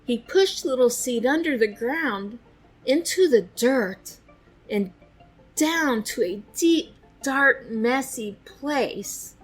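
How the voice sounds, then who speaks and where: A woman narrating a children's storybook with expressive, deliberate phrasing. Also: high voice, soft background music, poor audio.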